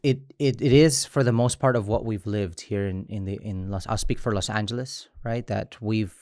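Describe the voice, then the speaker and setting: A man in his thirties from Mexico, an immigrant rights organizer speaking in an interview, halting with frequent restarts. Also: on the low side, darkish tone, dry recording, studio quality. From Chiapas, Mexico. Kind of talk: interview conversation.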